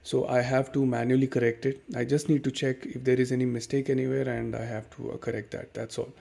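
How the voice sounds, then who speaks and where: Man, Indian accent, gravelly, mellow tone, relaxed tutorial host, webcam at a desk at home. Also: medium pitch, fast talker. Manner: relaxed, many fillers and "okay"s, explains step by step. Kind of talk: casual screen-recorded software tutorial. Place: dim room at home.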